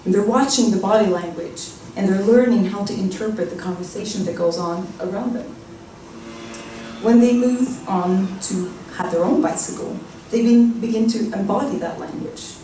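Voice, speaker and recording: A woman with a Norwegian accent delivers a short prepared talk at a measured pace. Her voice is dark and mellow. It sounds far from the mic, in a big echoing hall. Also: medium pitch, a little hiss or hum. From Norway. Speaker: presenter. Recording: echoey hall, mic at a distance.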